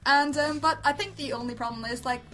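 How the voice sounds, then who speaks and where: A woman's voice: sits high, fairly quick, a bit bright. A woman co-hosting an amateur review show, chatting casually and unscripted in a giggly, tentative, self-deprecating way.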